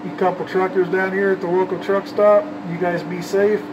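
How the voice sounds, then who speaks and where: Man chatting casually over loud background noise. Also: high-pitched, little pitch movement, moderate pace, lo-fi sound. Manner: folksy, CB slang, calls people "man". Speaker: CB radio operator and vlogger. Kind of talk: casual radio chat and commentary. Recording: loud vehicle and fan noise.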